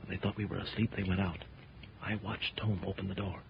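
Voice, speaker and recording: An actor playing a calm, fearless jungle hero in a 1930s radio serial, giving terse, commanding lines. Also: male voice, deep voice, a little fast, vocal fry. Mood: hushed, urgent. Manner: terse, clipped orders. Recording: muffled, noisy 1930s radio recording.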